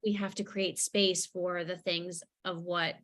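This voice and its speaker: A woman's voice: lowish voice, flat delivery, unhurried, fairly bright tone. A woman guest telling her personal story in a reflective way, leaning on key words. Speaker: guest speaker, author.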